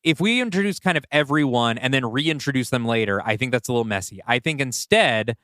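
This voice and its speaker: A man's voice: mid-range voice, lively intonation, brisk, fairly bright tone, dry recording. Man in casual discussion, dry close sound. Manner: explanatory, brainstorming aloud. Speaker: podcast co-host and writer. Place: indoors, two people seated across from each other.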